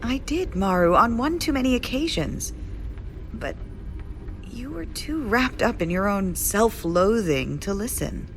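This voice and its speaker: An actress playing a bitter, resolute estranged lover turned zealot in an audio drama, speaking solemnly with weighty pauses. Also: female voice, fairly high pitch, animated, a little hiss or hum. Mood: accusing.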